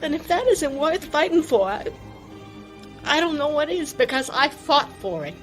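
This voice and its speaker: An actress playing a devoted wife, heartfelt and deliberate, tender but ready to fight, in an audio drama. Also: female voice, high-pitched, big pitch swings. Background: faint music.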